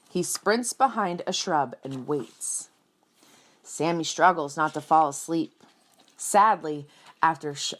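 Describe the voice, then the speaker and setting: Slow, low-voiced woman reading to children. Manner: addresses young listeners directly, explains simply; dramatic emphasis, acts out sound words. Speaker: children's read-aloud reader. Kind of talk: expressive picture-book storytelling.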